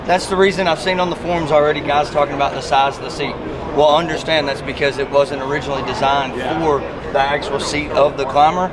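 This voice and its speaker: A folksy man hosts an outdoor gear channel from a trade show floor. His voice sits a bit high, and he chats casually to viewers over a loud, busy crowd. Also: steady tone, fast talker. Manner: folksy, chatty, addresses viewers directly.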